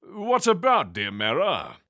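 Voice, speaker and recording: A man plays a refined male deity in a fantasy audio drama. He speaks slowly and haughtily in a bright, forceful voice that swings dramatically in pitch. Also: wildly swooping pitch, no room sound, clean studio sound, full character voice. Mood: defensive. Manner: slow, theatrical, haughty. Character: haughty male god, refined, touchy about pets.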